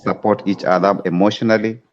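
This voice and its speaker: A man's voice: lowish voice, fairly even intonation, a little fast, dull audio. A man from Kenya, a trading coach, steadily explaining charts in a screen-share tutorial over a video call.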